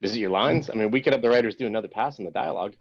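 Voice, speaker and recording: A smug, balding showrunner in his fifties, read by a man at a table read teases with mock sympathy and turns bullying. His middle-pitched voice comes through a slightly muffled recording. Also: very fast. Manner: smug, mocking, then bullying.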